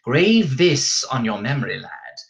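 A man voicing a stern old woman who speaks in slow, solemn, prophetic pronouncements. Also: mid-range voice, sing-song, creaky. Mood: grave, commanding.

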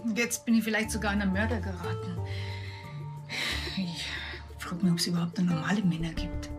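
An actress playing a plain-spoken, skeptical farmer's wife in a TV crime comedy, matter-of-fact. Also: female voice, on the low side, fairly even intonation, brisk, music in the background, lightly acted. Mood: resigned.

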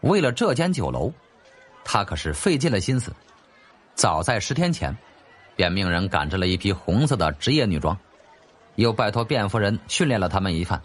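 一位男演播者用戏剧化又略带调侃的口吻讲述历史小说有声书。. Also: male voice, lowish voice, sing-song, normal speed. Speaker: audiobook narrator. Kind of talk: dramatic storytelling narration of a historical novel. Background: faint background music.

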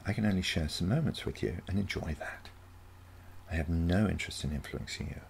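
Man voicing a self-assured, creaky-voiced teenage granddaughter. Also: low-pitched, fairly quick, slight background noise. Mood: cool, detached. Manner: calm, matter-of-fact, condescending. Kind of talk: scripted short story reading.

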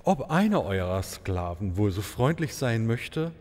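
A man's voice: fairly low pitch, sing-song, a little slow, a little mellow, in a large hall. A man playing a vain, pompous, ceremoniously courteous maharaja in a comic fairy-tale radio play. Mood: gracious.

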